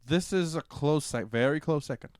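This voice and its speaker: A man's voice: a little high, normal speed, a little mellow, no echo, clean studio sound. A man co-hosting a film podcast, giving his opinions in loose, casual banter with some swearing. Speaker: podcast co-host reviewing films.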